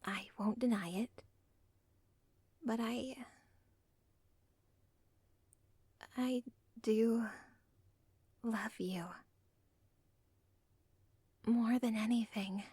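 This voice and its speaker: A woman playing a villain's lovestruck, self-aware daughter in an ASMR roleplay, whispering softly with a halting, deliberate, intimate delivery. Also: fairly high pitch, fairly even intonation, no room sound, full character voice. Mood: tender confession. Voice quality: soft, breathy, whispery.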